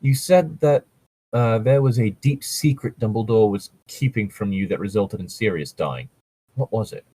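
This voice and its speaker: A man reads as a young witch, grateful yet troubled. The voice is husky and fairly low, in a storytelling read-aloud. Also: moderate pace, dark tone, dry recording.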